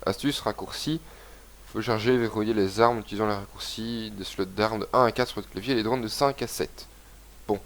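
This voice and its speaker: A young man with a head cold talks through a game session, his voice a bit low and stuffy. He rambles casually and reads the on-screen text aloud as he plays. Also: a little fast, a bit bright. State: has a cold, congested. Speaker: gaming video host. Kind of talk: casual let's-play commentary.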